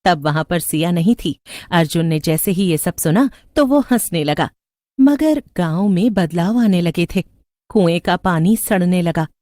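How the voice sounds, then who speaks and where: A woman's voice: fairly low pitch, expressive, measured pace, warm tone, no echo, studio quality. A woman narrator reading a scripted horror story.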